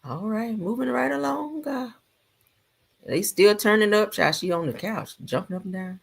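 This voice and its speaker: A woman hosting a gossip livestream, giving casual reaction commentary in a sassy, colloquial way with drawn-out asides. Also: mid-range voice, lively intonation, vocal fry, slightly rough.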